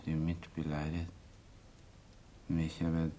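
A very deep, faltering young-love curate. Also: male voice, monotone, mellow, slight background noise. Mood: wistful, drowsy. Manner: halting, faltering. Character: shy, slow, lovesick male assistant clergyman. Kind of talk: German radio play acting.

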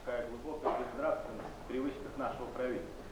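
A man's voice: medium pitch, steady tone, quick pace, background noise, lightly acted. Grave, indignant poet played by a man, theatrical recitation, distant from the mic, heavily echoing. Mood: quiet indignation. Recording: very echoey, distant stage recording, loud music.

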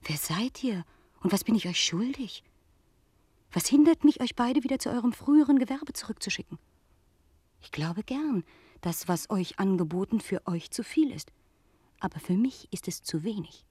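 An actress playing a proud, vengeful French noblewoman in a German radio play, husky and breathy, with a haughty, commanding manner. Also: medium pitch, expressive, moderate pace, dark tone, no room sound. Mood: scornful, indignant, then cold, commanding.

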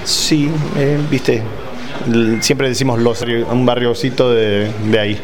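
Argentine man with loud crowd behind. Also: mid-range voice, steady tone, slow. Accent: Argentine Spanish mixed with Californian influences. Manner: hesitant, many fillers, laughs easily. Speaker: band vocalist. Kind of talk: casual interview. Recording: noisy crowd in the background.

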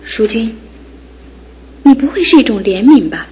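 A woman's voice: a little high, sing-song, normal speed, warm tone, slightly rough, exaggerated voice. An actress playing a skeptical young woman in a studio radio drama, speaking in a questioning, wistful way. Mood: doubtful. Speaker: fiancé's sister.

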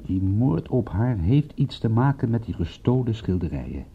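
A man playing a cynical, excitable private detective in a radio drama, speaking in a wry, emphatic, husky voice. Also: lowish voice, normal speed, a little hiss or hum. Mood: reasoning. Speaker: detective and narrator. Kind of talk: radio drama acting and narration. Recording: dull, muffled old radio recording.

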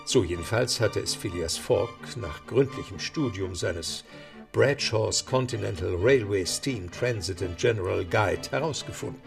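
A man narrating a German radio play with deliberate, emphatic storytelling. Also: lowish voice, creaky, music in the background.

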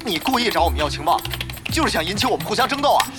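An actor playing an excitable, exasperated young male guild leader in an online-game audio drama. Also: sits high, racing. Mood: indignant, exasperated. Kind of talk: Chinese audio drama acting. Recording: roomy reverb, music bed. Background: background music.

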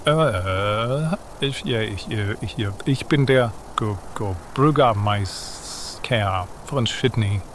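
A man's voice: fairly low pitch, slow, hardly any pauses. A man playing a meek, defeated mayor who stammers hesitantly in an amateur comedy radio play. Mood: flustered. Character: timid, stammering defeated mayor surrendering his country. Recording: noisy 1980s homemade radio play recording.

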